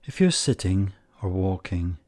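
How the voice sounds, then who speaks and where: A man leading a guided meditation in calm, measured short phrases with long pauses. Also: deep voice.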